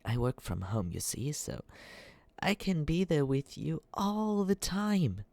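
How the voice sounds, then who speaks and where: A man playing a lovesick, obsessive young yandere stalker in an ASMR roleplay, awkwardly sweet and breathy, with halting, nervous delivery and soft asides. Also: on the high side, sing-song, no room sound, exaggerated voice. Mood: doting, earnest.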